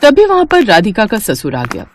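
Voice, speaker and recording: A woman narrating a cartoon story in a steady, matter-of-fact way. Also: medium pitch, normal speed, slight background noise.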